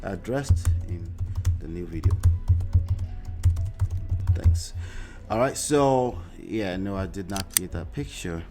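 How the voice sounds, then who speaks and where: A man's voice: low-pitched, slow, pauses often, over loud music. A Nigerian man whose first language is Yoruba hosts a livestream and talks casually in a Nigerian accent, often using "uh" and "right?". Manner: conversational, with frequent "uh" and tag questions like "right?". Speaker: show host. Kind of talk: livestream commentary reading viewer comments.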